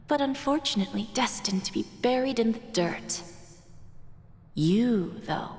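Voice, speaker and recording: An actress voicing a commanding, quietly menacing female envoy in a game cutscene, speaking in a soft, condescending half-whisper. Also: medium pitch, long reverb tail.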